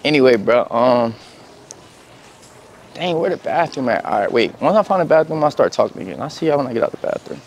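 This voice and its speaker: A young man talks straight to his viewers in relaxed, slangy vlog chat. His voice sits at an ordinary middle pitch. Faint traffic and outdoor noise hum behind him. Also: brisk. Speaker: vlogger. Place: outdoors in parking lots and inside a big store.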